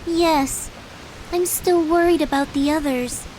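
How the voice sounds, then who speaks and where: A sweet, wise-beyond-her-years young girl, speaking in a high, gentle tone meant to comfort. A steady hiss sits under the game audio. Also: slow pace, rough recording. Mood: worried. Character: fourteen-year-old girl, kind, calm, wise beyond her years. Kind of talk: video game cutscene dialogue. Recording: hissy game audio capture.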